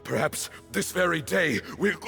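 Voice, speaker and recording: A man's voice: high voice, expressive, slow, gravelly, pushed and bright, in a large hall, soft background music, full character voice. A man voicing an ailing old desert temple leader in a game, coughing and grave, with failing strength. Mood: weak, urgent. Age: older. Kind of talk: dramatic game voice acting.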